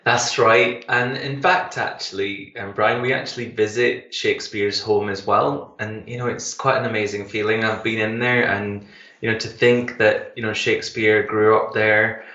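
A Scottish man with a somewhat low but bright, forceful voice talks eagerly, full of fillers and restarts. His sound carries a roomy echo. The line sounds dull and muffled. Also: fairly even intonation, moderate pace. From Glasgow, Scotland. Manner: enthusiastic, many fillers and restarts. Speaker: tour manager guest. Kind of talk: casual radio interview.

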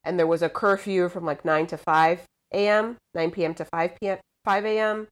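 Rambling young woman, lowish voice. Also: steady tone, normal speed. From Puerto Rico. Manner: rambling, hesitant, full of "like" and "um". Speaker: podcast guest. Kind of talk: casual chat over a call.

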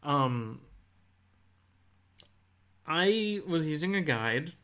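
A man's voice: a little high, expressive, drawn-out words, a bit bright. A man co-hosting a podcast, talking casually and informally about video games. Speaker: podcast co-host.

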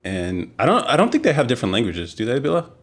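A man's voice: medium pitch, wildly swooping pitch, quick pace. A young man hosting a casual podcast, joking and laughing often. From United States. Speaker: podcast host.

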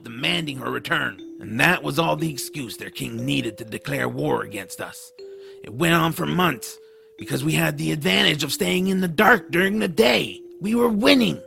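Give this to a defiant troll, once a dark elf, now a bitter runaway slave. His voice is heavily gravelly with a sharp, forceful edge. He talks with sardonic indignation, as in a fantasy audio drama. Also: a little high, full character voice. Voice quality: gravelly, rough. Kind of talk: audiodrama character acting.

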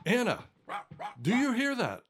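A man's voice: very high voice, huge pitch swings, unhurried, fairly bright tone, slight background noise, exaggerated voice. An actor playing a stubborn, devout old blind father in an audio drama. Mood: exasperated.

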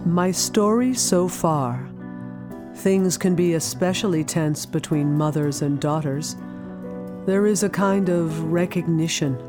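An actress playing a wry, reflective adult daughter in an audio drama, confiding her story with pauses and sighs. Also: fairly low pitch, music in the background.

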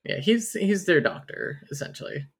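A woman's voice: low voice, big pitch swings, normal speed, vocal fry, husky. A woman co-hosting a casual book podcast, recapping chapters and reading passages aloud, laughing often. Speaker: podcast co-host.

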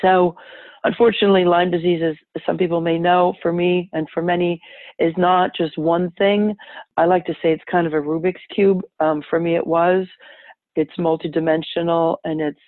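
A woman's voice: on the low side, level tone, moderate pace, no room sound. A woman from Paris with French as her first language, telling her personal story conversationally as an interview guest. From born and raised in Paris, France, to American parents. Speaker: interview guest, health advocate. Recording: muffled, band-limited remote line.

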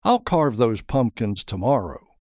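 A man voicing a sensible, quietly stubborn older grandmother in an audio story. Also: mid-range voice, sing-song, measured pace, no room sound, clean studio sound. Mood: earnest.

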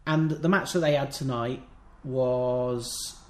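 A British man talks in a loose, rambling way, full of dry, jokey asides. His voice sits at an ordinary pitch. The room around him rings with noticeable echo. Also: takes it slowly, darkish tone. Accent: British English. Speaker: podcast co-host. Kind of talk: casual wrestling chat. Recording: roomy echo.

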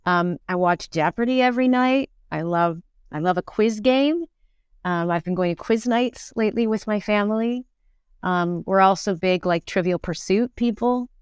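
A woman's voice: mid-range voice, normal speed, smooth, no room sound, studio quality. A middle-aged woman, an actor, chatting casually as an interview guest and thinking aloud as she goes.

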